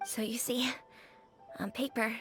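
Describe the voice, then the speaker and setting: A woman's voice: a little high, flat delivery, measured pace, soft background music, close to an everyday voice. A woman playing a friendly, chatty, hospitable young restaurant keeper in a video game. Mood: confiding, earnest. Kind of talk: video game character acting.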